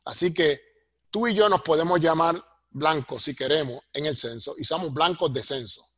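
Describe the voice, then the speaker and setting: Man, Puerto Rican accent, husky, close dry recording, professorial interview guest, conversational explaining. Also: fairly high pitch, normal speed, fairly bright tone, no echo. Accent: Puerto Rican Spanish. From Puerto Rico. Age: middle-aged or older. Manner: professorial, punctuates points with tag questions.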